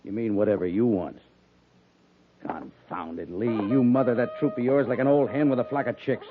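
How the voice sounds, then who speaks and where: Muffled vintage-radio actor as blustery cavalry major. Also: male voice, mid-range voice, fairly even intonation, moderate pace, lightly acted. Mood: exasperated. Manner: exasperated, emphatic military bluster. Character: blustery, grumbling senior cavalry major, gruffly indulgent. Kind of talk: 1950s radio drama acting. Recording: muffled, band-limited 1950s radio recording.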